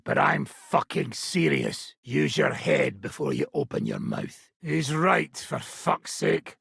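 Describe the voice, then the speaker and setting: An actor playing a gruff, foul-mouthed, battle-hardened medieval mercenary leader in a video game, raspy and blunt, with sardonic delivery. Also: male voice, mid-range voice, wildly swooping pitch, slow pace, a bit bright, dry recording, studio quality, heavily acted. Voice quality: raspy, gravelly. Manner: blunt, sardonic, swearing freely. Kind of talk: video game character dialogue.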